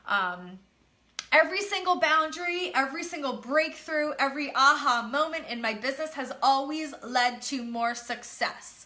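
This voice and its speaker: Woman coach chatting motivational stuff, very bright voice, bit nasal like she has a cold, repeats stuff for emphasis. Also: high voice, expressive, moderate pace. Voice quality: slightly nasal. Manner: emphatic, repeats key phrases. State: congested from a cold. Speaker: business coach. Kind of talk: casual motivational talk to camera.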